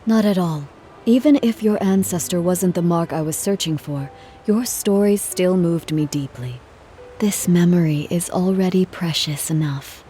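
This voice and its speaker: A woman playing a courteous, reflective, dignified travelling performer in a video game, with measured formal delivery. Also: fairly low pitch, mellow, slight background noise, natural voice. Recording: music under the voice.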